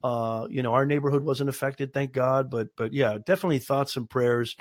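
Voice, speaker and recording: A man co-hosting a casual live stream, slightly hoarse and raspy from a cold, chatty and joking. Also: medium pitch, a little fast, no room sound, studio quality. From Southern California. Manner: joking, chatty, laughs often. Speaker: co-host.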